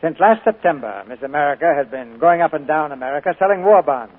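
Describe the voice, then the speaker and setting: A man's voice: a little high, lively intonation, fast. A middle-aged man hosting a radio show, interviewing a guest in a polished, genial, teasing way. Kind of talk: scripted on-air interview. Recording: tinny 1940s radio broadcast.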